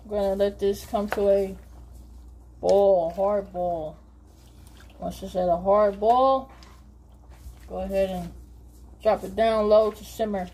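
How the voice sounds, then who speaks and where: Southern US woman, mid-pitched, casual home-cook delivery, light kitchen noise behind her. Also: fairly even intonation, takes it slowly, long pauses, mellow. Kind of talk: casual cooking demonstration. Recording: noisy kitchen with sizzling food. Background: frying and stirring sounds.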